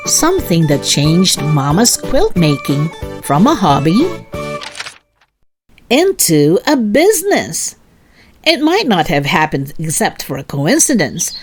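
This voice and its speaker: A woman narrating a children's story in an expressive way, as a read-aloud host for young listeners. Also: lowish voice. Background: music.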